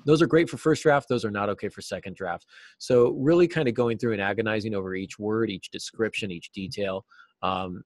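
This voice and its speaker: A man in his forties giving earnest, explanatory answers as an interview guest, stressing key words deliberately. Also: lowish voice, no room sound. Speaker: writing teacher and novelist, interview guest. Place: small cluttered office, video call.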